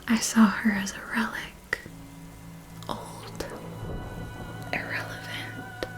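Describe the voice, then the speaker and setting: A woman's voice: on the high side, a little mellow, exaggerated voice. A woman playing an ancient, seductive vampire mentor in a whispered ASMR roleplay, speaking with formal, lingering, condescending affection. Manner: slow, deliberate, lingering, formal and intimate.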